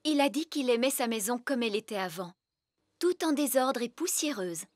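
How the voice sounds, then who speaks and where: A woman playing a brisk, bossy fairy nanny in a French cartoon dub, speaking in a prim, formal, theatrical way. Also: fairly high pitch, lively intonation, no echo, clean studio sound. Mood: matter-of-fact. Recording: music behind the voice.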